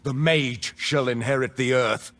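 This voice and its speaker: A man playing a vengeful escaped mage prisoner who leads a rebellion, with dramatic, deliberate video game delivery and a rough, husky voice. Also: mid-range voice, fairly bright tone, lightly acted. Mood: defiant. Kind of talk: theatrical video game voice lines.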